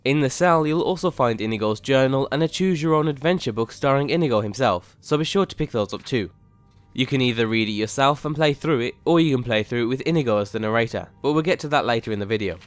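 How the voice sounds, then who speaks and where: A man's voice: medium pitch, animated, fast, no echo, soft background music. A man reading scripted narration as a video game mod reviewer, in an explanatory way.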